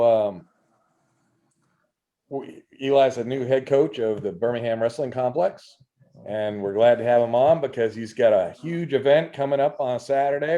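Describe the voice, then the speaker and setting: A man's voice: medium pitch, warm tone. A middle-aged man hosting a casual video call interview, chatty and keen on wrestling. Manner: chatty, enthusiastic about wrestling. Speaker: show host. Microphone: video call webcam. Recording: dull lo-fi webcam audio.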